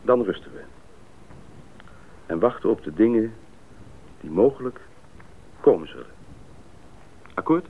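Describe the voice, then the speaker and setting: An actor playing a decisive expedition pilot in a Dutch radio drama, speaking in a deliberate, businesslike way. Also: male voice, on the low side, animated, husky, full character voice. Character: decisive male expedition pilot leading the planning. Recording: muffled narrowband radio play recording.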